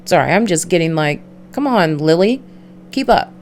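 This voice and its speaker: Low-voiced woman streaming a game. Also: lively intonation, moderate pace, slight background noise. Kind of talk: reading a visual novel aloud with casual commentary.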